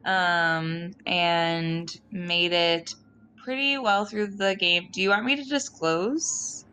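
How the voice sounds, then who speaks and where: A woman chatting casually as a roleplaying game player, recapping her character in halting, hesitant phrases. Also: lowish voice, steady tone, bright, forceful tone, slight background noise.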